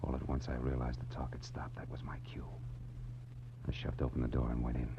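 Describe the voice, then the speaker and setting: Very deep hard-boiled radio detective. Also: male voice, completely flat delivery, fairly quick, background noise. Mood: tense, wry. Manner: terse, wry, wisecracking. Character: hard-boiled wisecracking male private detective, weary and tough. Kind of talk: 1940s radio acting, noir first-person narration. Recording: muffled old radio recording, music behind.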